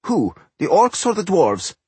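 A man voicing a nosy, insistent gnome in a storybook, pestering in a husky voice. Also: high-pitched, huge pitch swings, unhurried, dark tone, dry recording, voice pushed up, full character voice. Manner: pestering, questioning.

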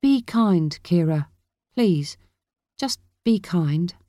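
A woman voicing a gentle, worried older mother, a soft-hearted and placating grandmother in an audiobook. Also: fairly low pitch, lively intonation, very slow, dry recording, studio quality. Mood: pleading.

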